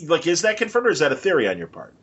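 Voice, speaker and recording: Lively, higher-voiced male interviewer. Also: racing, highs cut off. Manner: attentive, interjecting short agreements. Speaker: podcast interviewer. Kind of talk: casual interview chat.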